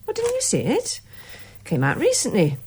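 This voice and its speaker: Fond, high-voiced teasing wife. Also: female voice, wildly swooping pitch, normal speed, darkish tone, breathy edge, full character voice. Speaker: actor in a detective radio play. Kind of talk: domestic radio drama acting.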